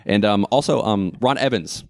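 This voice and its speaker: A man's voice: lowish voice, moderate pace, gravelly, no echo, studio quality. An American man co-hosting a podcast, chatting casually and wryly about local theater. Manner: jokey, wry, chatty. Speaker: podcast co-host.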